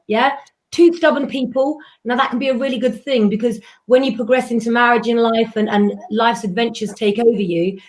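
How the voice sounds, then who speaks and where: Husky-voiced woman giving a tarot reading. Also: on the high side, a little mellow, low-quality recording. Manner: reassuring, chatty, slows for emphasis. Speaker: psychic tarot reader. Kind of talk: live-stream reading, casual chat.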